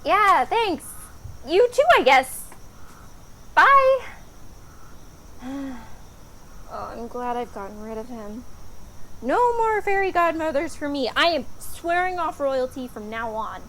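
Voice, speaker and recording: Woman as blunt, high-voiced, exasperated young maiden. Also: big pitch swings, moderate pace, a bit bright, a little hiss or hum, exaggerated voice. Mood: relieved, sarcastic. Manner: modern, casual, dry sarcasm. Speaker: voice actor. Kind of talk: comedic fairytale audio drama acting.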